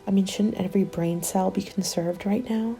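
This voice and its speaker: A woman playing a starving, bitter former nurse surviving a zombie apocalypse, in a soft, hushed ASMR roleplay that drifts into whispers. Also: mid-range voice, fairly even intonation, normal speed, natural voice. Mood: weary, mournful. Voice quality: creaky, soft. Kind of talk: ASMR dramatic roleplay monologue. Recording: music under the voice. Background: music.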